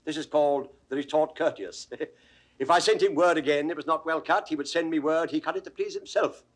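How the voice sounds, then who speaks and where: A British actor playing a witty, mock-learned court jester in a Shakespeare audio drama, with mock-formal, theatrical delivery. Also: male voice, fairly high pitch, fairly quick, close to an everyday voice. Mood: mock-pompous.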